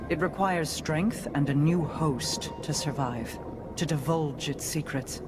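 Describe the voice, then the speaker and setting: A woman's voice: deep voice, a little slow, over music. A woman playing a regal, cold and resolute queen in a game cutscene, formal and commanding. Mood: imperious. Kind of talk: dramatic game cutscene acting.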